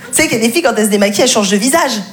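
Echoey, slightly high-voiced female stand-up comic. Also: lively intonation, very fast. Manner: self-deprecating, frank, conversational. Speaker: stand-up comedian. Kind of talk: stand-up to a live audience. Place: live comedy stage. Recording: echoey, noisy live stage recording.

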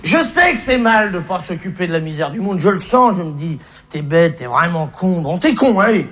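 A man's voice: sits high, big pitch swings, normal speed, a bit bright. A male stand-up comedian delivering a colloquial, sweary, faux-naive rant on stage. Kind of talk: comic stage monologue. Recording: noisy live recording with audience. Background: audience laughter.

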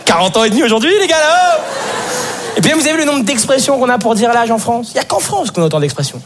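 Animated teenage man doing stand-up. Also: very high-pitched, fast. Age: teens. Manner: animated, talks to the audience, acts out voices. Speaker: stand-up comedian. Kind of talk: stand-up routine. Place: stage before a live audience. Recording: live stage, crowd and noise.

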